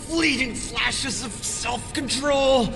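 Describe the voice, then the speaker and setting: An anguished old father in an audio drama. The voice is extremely high for a man and very slow, halting and impassioned, with music behind. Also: gravelly, heavily acted. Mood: urgent, desperate. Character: anguished, remorseful elderly father, possessed and urgent.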